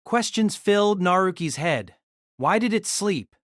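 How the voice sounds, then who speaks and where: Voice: medium pitch, expressive, unhurried, no room sound, clean studio sound. A narrator reading scripted third-person story narration for an audio drama.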